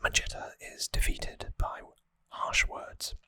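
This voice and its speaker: An ASMR host whispering an informal recap close to the microphone, in short phrases with hesitant pauses. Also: sharp tone, dry recording.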